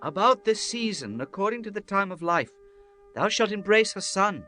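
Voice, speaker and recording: An actor playing a commanding biblical prophet in a dramatized scripture audiobook, solemn and declamatory. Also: male voice, sits high, animated, moderate pace, bright, forceful tone, faint music behind. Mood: grave.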